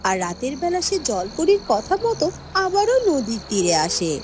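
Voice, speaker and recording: A woman's voice: sits high, sing-song, normal speed. Female fairy tale narrator over music.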